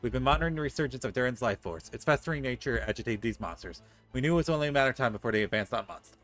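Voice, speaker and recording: Fast-talking, bright-voiced male game streamer. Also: medium pitch, expressive, soft background music. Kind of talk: gameplay commentary and reading game dialogue aloud.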